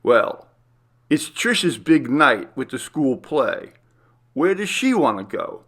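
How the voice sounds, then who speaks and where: A man's voice: medium pitch, big pitch swings, slow pace, fairly bright tone, voice pushed up, heavily acted. A man voicing an affectionate, easygoing husband and father in a storybook reading. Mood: amused, indulgent.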